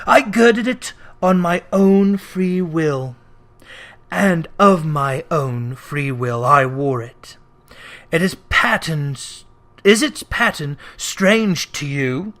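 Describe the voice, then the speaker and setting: Guy doing a tormented old businessman ghost, super slow and solemn, drags out every word like a wail, storybook style. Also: on the high side, sharp tone, pitched below the performer's own voice, heavily acted. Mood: grim, accusing. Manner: slow, solemn, drawn-out wailing.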